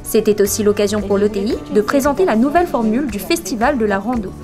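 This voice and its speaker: A woman's voice: mid-range voice, animated, fast. A woman reading scripted narration for a news report. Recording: voice over clear background music. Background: music.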